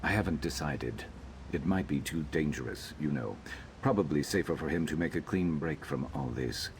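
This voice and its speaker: An actor playing a gruff, guarded lizard-man companion in a video game, speaking in a dry, blunt, measured way. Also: very deep voice, a little hiss or hum. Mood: guarded, matter-of-fact. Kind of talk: video game character dialogue.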